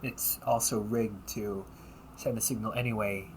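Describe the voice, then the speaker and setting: A man playing a wry, politely smug demon-hunting officer in an audio roleplay, speaking in a courteous, measured, teasing way with breathy asides. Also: fairly low pitch, steady tone, a little mellow, slight background noise, poor audio. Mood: cautioning, calm. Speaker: amateur voice actor. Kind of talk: audio roleplay, one-sided dialogue addressed to the listener.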